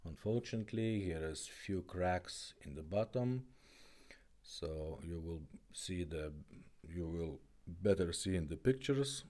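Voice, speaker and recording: Guy doing a casual product demo, deep mellow voice, slow halting english. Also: animated. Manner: halting, plain non-native English. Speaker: product demonstrator.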